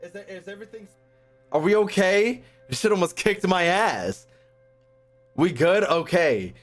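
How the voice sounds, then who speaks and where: A young man streaming a game with animated, slangy, profane casual commentary, often repeating his phrases. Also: sits high, moderate pace, soft background music. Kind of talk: casual live gaming commentary.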